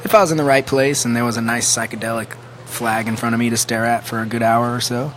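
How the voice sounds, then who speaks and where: Male singer guest, quick talker, jokey. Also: medium pitch, flat delivery, slight background noise. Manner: joking, laid-back quips. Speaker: rock band singer. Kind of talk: casual guest chat.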